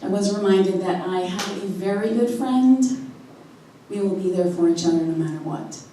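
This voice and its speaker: Woman, stage storyteller, mellow tone, distant mic. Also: mid-range voice, normal speed. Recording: echoey room mic, far off, faint noise.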